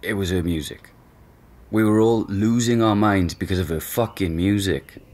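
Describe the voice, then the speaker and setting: A man's voice: low-pitched, moderate pace. An actor playing an easygoing young man who jokes and hesitates as he talks. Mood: casual. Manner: relaxed, joking, hesitant with fillers. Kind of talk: audio drama acting.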